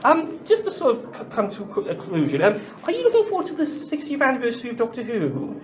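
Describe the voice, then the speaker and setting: A man's voice: very high-pitched, wildly swooping pitch, fast talker, slight background noise. A man chatting as a convention interviewer, hesitant and often stammering over repeated words. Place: busy convention venue. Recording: crowd chatter behind the voice.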